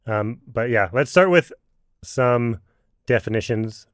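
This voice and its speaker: A man hosting a podcast interview, talking casually and haltingly, with lots of restarts. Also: medium pitch, animated, no echo, clean studio sound. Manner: halting, many fillers and restarts. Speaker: podcast host.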